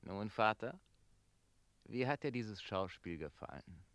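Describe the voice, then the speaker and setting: Low, quietly probing son. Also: male voice, animated, slow, no echo, exaggerated voice. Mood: probing, hushed. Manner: quiet, questioning. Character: mayor's observant, quietly probing adult son. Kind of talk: German radio play acting.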